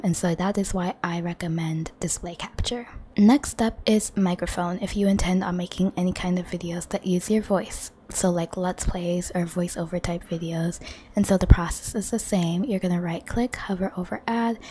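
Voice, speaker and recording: A woman with a hoarse voice, losing her voice, chatting in a friendly way to viewers as she walks through a computer tutorial on a desktop microphone at home. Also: fairly low pitch, mellow, slight background noise. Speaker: gaming channel host. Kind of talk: casual screen-recording tutorial. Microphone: desktop condenser microphone.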